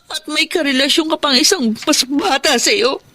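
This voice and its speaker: A woman's voice: sits high, normal speed, pushed and bright. An actress playing a betrayed, wounded longtime girlfriend in a tearful, melodramatic Filipino radio drama.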